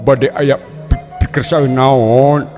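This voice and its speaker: A man's voice: medium pitch, fairly even intonation, slow pace, voice pushed up, natural voice. A man voicing a timid young male student with a heavy stutter, with folk music playing behind. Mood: flustered.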